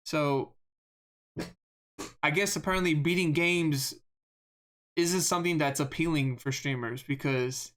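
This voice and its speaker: A game streamer with a monotone voice, rambling through live gameplay commentary, self-deprecating and often repeating words. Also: mid-range voice, unhurried, pauses often.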